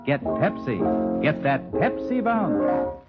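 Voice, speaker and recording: A man's voice: a little high, wildly swooping pitch, slow. A man announcing a commercial in jolly, upbeat 1950s radio advertising style. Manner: jolly, upbeat sales delivery. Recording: muffled old radio recording. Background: loud guitar music.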